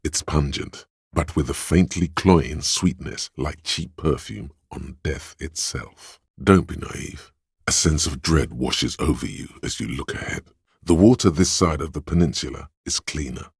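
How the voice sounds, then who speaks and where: A man's voice: rumbling low, gravelly, husky, dry recording, clean studio sound, full character voice. A man voicing a wry, disembodied inner sense of smell that narrates to the player in sardonic second-person descriptions for a video game.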